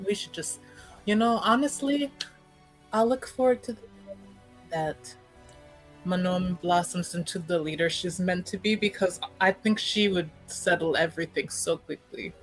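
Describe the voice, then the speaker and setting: Woman playing a slow, hesitant noble niece. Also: on the low side, soft background music, close to an everyday voice, rough recording. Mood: fond, earnest. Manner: hesitant, softly deliberate phrasing. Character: reserved, thoughtful noble niece named Ade. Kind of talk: in-character tabletop roleplay.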